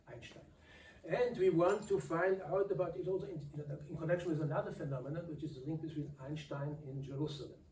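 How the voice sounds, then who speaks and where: An older man, moderating a public talk in a lecture hall in a halting, pausing way. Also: on the high side, mellow, slight background noise. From Austria. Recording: echoey hall from far off.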